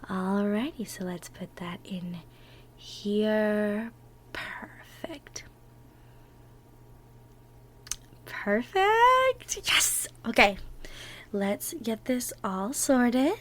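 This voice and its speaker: A woman playing an affectionate, excitable girlfriend in a casual ASMR roleplay, chatting encouragingly with soft murmured asides as she teaches baking. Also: medium pitch, very slow, heavily acted. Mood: soft, focused. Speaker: audio roleplay performer.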